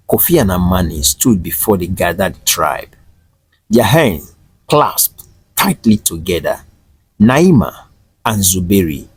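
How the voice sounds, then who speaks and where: A man's voice: sits low, breathy edge. A man narrating a scripted folktale as a storyteller. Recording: roomy echo over faint music.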